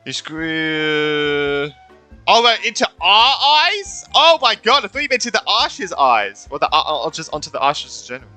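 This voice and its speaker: A man's voice: fairly high pitch, big pitch swings, normal speed, bright, forceful tone. A young man casually commenting while playing a game and reading its dialogue aloud, his voice tired and strained. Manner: chatty, reads game dialogue aloud, says bro a lot. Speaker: gaming streamer. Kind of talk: casual let's-play commentary. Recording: game music underneath.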